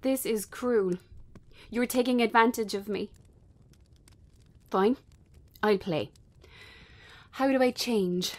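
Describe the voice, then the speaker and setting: A woman's voice: fairly high pitch, slow pace, pauses often, darkish tone. A woman playing a wary, weary young bartender with a defiant edge, in a dramatic script reading. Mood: sceptical, challenging.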